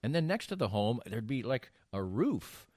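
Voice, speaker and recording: A man's voice: mid-range voice, sing-song, moderate pace, warm tone, no room sound, studio quality. An older man hosting a casual podcast, telling anecdotes in a rambling way and restarting his sentences mid-thought. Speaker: podcast host.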